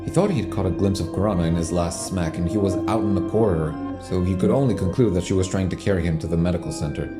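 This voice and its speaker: A man's voice: sits low, quick pace, few pauses, close to an everyday voice. A man reading scripted narration for a tense science-fiction audio drama. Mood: narrating. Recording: loud music behind the voice. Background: loud dramatic music.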